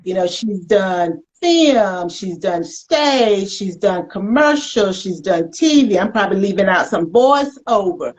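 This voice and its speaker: An American woman hosting a conversational interview in an animated, folksy, affirming way. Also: mid-range voice, measured pace, hardly any pauses. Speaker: talk show host. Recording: dull lo-fi web call audio.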